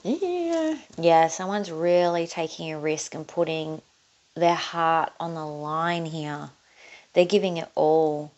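A woman with an Australian accent chats through a tarot spread, talking to herself as she turns each card. Her voice sits low for a woman. Faint shuffling noise runs under her words. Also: lively intonation, slow pace, darkish tone, dull audio. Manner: chatty, thinking aloud while drawing cards. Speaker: tarot reader. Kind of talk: casual tarot reading to camera. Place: indoors at a table, overhead camera on her hands.

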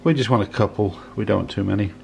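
A man in his sixties giving a casual, unhurried cooking demonstration in a home kitchen, often repeating his phrases as he goes. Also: on the low side, vocal fry. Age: 60s. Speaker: home cook, presenter. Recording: clattering dishes, clicks, kitchen noise.

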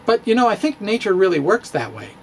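A middle-aged man giving a dramatic, comedic reading of a physics blog, halting and theatrical with emphatic pauses. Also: sits high, big pitch swings, slightly rough. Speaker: blogger, stand-up physicist. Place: indoor room with bookshelves. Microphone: handheld-style microphone on stand, close.

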